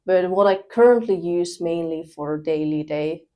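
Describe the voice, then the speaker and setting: A young woman, chatting as a podcast guest, relaxed and pausing with fillers. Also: lowish voice. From Finland. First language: Thai. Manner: relaxed, laughs between thoughts, pauses with fillers. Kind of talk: casual interview chat.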